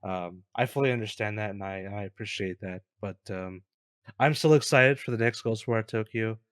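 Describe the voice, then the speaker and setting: A man's voice: fairly low pitch, normal speed, no room sound, studio quality. A man co-hosting a casual gaming discussion, enthusiastic but hesitant in his phrasing. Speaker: co-host.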